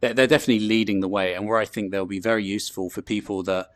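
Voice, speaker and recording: Chatty London man, low voice. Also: fairly even intonation, very fast, dry recording, studio quality. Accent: London English. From England. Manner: loose and chatty, many ums and restarts. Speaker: host presenting a talk. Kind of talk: casual presentation with filler words.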